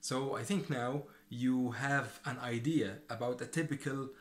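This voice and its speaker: Egyptian man teaching, repeating phrases deliberately. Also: mid-range voice, steady tone, echoey room, a little hiss or hum. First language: Arabic. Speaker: Arabic language teacher. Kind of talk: language lesson, explaining and repeating phrases.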